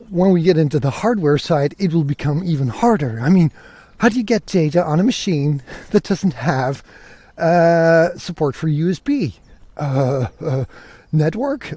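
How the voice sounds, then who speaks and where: A man's voice: fairly high pitch, mellow, dry recording. A man hosting a podcast, talking casually and conversationally, often posing questions to himself on a close microphone. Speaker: podcast host.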